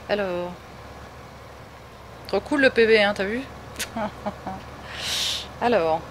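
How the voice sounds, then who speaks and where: A woman's voice: mid-range voice, fairly bright tone, a little hiss or hum. Chatty Parisian woman streaming a farm game. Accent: Parisian French. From Paris, France. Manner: chatty, answers chat viewers, laughs often. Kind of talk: casual live gameplay commentary.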